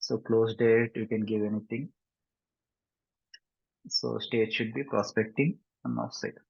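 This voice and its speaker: A man with a Telugu Indian accent giving a step-by-step software tutorial, mixing in English and often checking understanding with short tag questions. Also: on the low side, steady tone, drawn-out words, vocal fry, husky. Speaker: software instructor. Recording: muffled lo-fi mic, roomy echo.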